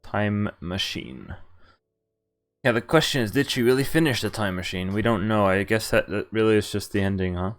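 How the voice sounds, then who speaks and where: A man streaming a game, reading its text aloud and commenting informally, in a halting way as he thinks out loud. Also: fairly low pitch. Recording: music under the voice. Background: game music.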